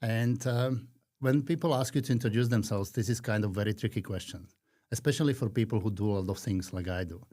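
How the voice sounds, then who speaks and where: A man in his fifties from Slovakia with a Slovak accent, talking earnestly and reflectively as a podcast guest, with frequent pauses. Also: on the low side, mellow. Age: 50s. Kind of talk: conversational interview.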